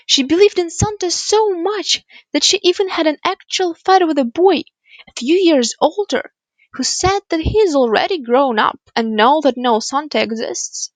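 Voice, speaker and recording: A woman's voice: sits high, dry recording. A woman reading a narrative monologue in the style of a storybook tale. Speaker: monologue reader.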